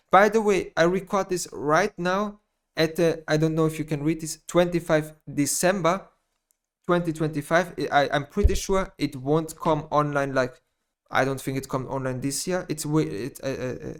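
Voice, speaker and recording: A man with a German accent, a native German speaker, giving an unscripted personal monologue to his channel, rambling and halting, often repeating phrases and restarting sentences. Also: a little high. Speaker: gaming YouTuber.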